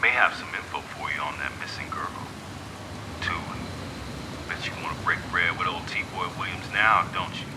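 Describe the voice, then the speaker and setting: A man's voice: deep voice, very noisy, lightly acted. An actor playing a cocky male street gang leader in an audio drama, slangy and taunting as he deals. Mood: smug, cajoling. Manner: slangy, taunting, drawn-out teasing.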